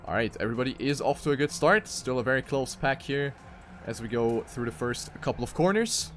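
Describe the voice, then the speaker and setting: A man's voice: mid-range voice, fairly quick, faint music behind. A man giving live commentary on a racing video game as an esports caster.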